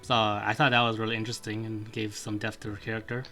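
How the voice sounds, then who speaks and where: A man's voice: lowish voice, level tone, fast, music in the background. A young man co-hosting a gaming podcast, giving blunt, short opinions in a casual chat. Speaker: podcast co-host.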